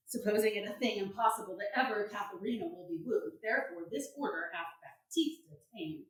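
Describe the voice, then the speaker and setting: A woman playing a genial, excitable, lovestruck gentleman in a Shakespearean staged reading, with animated theatrical verse. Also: medium pitch, normal speed, lightly acted. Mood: lovestruck, eager. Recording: echoey room, distant microphone.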